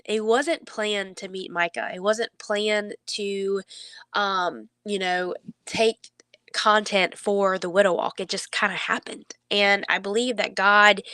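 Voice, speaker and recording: Bright, clear-voiced woman, slightly stuffy. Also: medium pitch, no room sound. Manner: earnest, reassuring, halting conversational delivery. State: stuffy nose, under the weather. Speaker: faith vlogger. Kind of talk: heartfelt devotional talk to camera.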